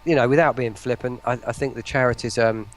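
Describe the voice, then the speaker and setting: A man's voice: mid-range voice, fairly even intonation, fast talker. A man chatting casually as a guest, hesitant and rambling as he goes. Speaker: interview guest. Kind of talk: casual chat.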